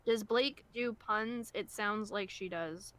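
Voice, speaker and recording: A woman's voice: mid-range voice, slow pace, noisy background. A woman chatting casually on a group livestream, with a blunt, jokey way of talking. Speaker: co-creator on a web series discussion stream.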